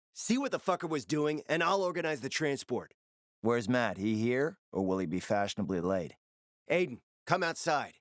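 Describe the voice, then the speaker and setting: A man playing a suave, flirtatious, scheming spymaster in a video game, with a smooth, theatrical, sardonic and teasing manner. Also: a little high, a bit bright, no room sound, studio quality. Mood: urgent, sardonic. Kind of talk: video game character acting.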